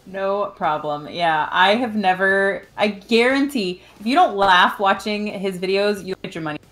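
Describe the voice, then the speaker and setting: A woman's voice: medium pitch, moderate pace, fairly bright tone, soft background music. A young woman co-hosting a casual podcast, joking in a self-deprecating, mock-indignant way. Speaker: podcast co-host. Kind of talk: casual chat and banter. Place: room at home, indoors.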